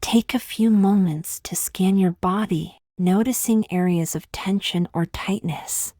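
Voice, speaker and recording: A woman reading a scripted guided meditation in slow, gentle, measured phrases with long pauses. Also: mid-range voice, no echo, clean studio sound.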